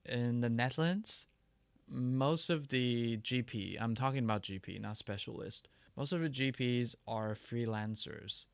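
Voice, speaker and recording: Gravelly-voiced man chatting on a livestream. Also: mid-range voice, no room sound. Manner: halting, many restarts and fillers. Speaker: livestream host. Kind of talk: casual livestream chat answering viewer questions.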